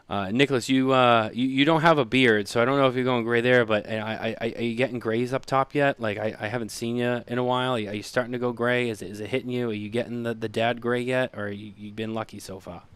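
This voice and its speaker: A man's voice: mid-range voice, fairly bright tone, smooth. A man in his thirties co-hosting a podcast, chatting casually in a rambling way full of fillers and repeats. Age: 30s. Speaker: podcast co-host. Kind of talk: casual chat.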